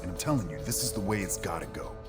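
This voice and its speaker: An actor playing an earnest, steady male superhero in a video game, speaking seriously and firmly. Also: deep voice, fast talker, over loud music. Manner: serious, firm. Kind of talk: video game cutscene acting.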